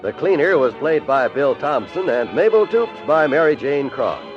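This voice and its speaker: A male radio announcer gives a smooth, friendly sign-off. His voice sits a little high and moves up and down. He sounds muffled, like an old radio transfer, with music clearly behind him. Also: sing-song, normal speed. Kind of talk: scripted announcing. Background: theme music.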